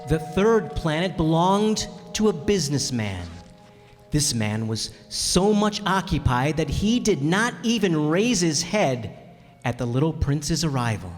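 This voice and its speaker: A man's voice: on the high side, big pitch swings, measured pace. A man narrating a storybook tale as part of a radio play. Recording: echoey hall with faint music.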